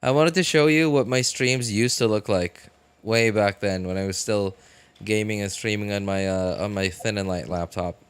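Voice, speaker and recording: A man live streaming a video game, chatting casually and thinking aloud in a halting way. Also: lowish voice, smooth, no room sound. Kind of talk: casual live stream chat while gaming.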